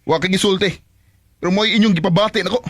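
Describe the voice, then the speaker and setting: A man's voice: high-pitched, steady tone, a little fast, fairly bright tone, no room sound, studio quality. A man with a Visayan Filipino accent playing a bitter, emotional young son in a radio drama. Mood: bitter, defiant. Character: bitter, emotional young adult son, rebellious yet loving. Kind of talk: Bisaya radio drama acting.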